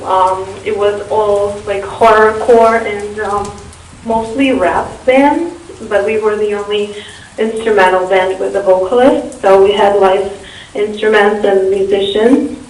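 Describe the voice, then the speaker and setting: A woman's voice: medium pitch, steady tone, measured pace, a little mellow. A woman from Russia, a native Russian speaker, giving casual answers as an interview guest. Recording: echoey, distant, faint hiss.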